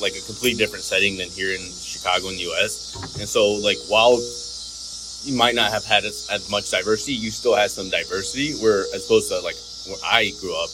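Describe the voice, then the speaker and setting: A young man from North Carolina answering interview questions in a relaxed, rambling way with many fillers. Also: fairly low pitch, fast talker, noisy background.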